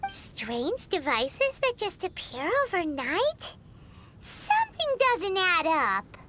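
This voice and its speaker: A woman voicing a tiny childlike fairy companion, perky and blunt, in an animated video game. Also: very high voice, slow, heavily acted. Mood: puzzled. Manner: refers to self in third person, exclaiming. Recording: loud music behind.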